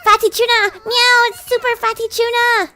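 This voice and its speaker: Woman voicing a very high-pitched, excitable young cat girl. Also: moderate pace, soft background music. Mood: excited. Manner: excitable, cutesy. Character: bubbly, childlike, clingy young cat girl.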